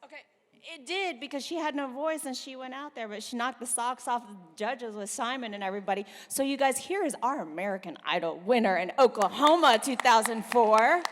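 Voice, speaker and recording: Loud high-voiced woman emceeing a gala. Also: fairly quick. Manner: loud, lively, storytelling. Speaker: event co-host. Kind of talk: live emcee banter at a gala. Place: large hall at a gala event. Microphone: handheld microphone. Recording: reverberant hall.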